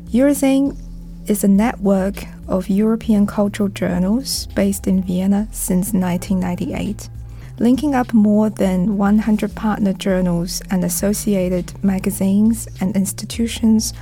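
A woman's voice: medium pitch, warm tone. A woman who coordinates a youth project, reading a prepared introduction for a radio program. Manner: reads a prepared script.

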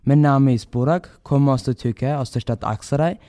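A man's voice: mid-range voice, normal speed, warm tone. A sixteen-year-old boy from Vienna hosting a school radio interview, reading out formal introductions and questions. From Vienna, Austria. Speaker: student radio host.

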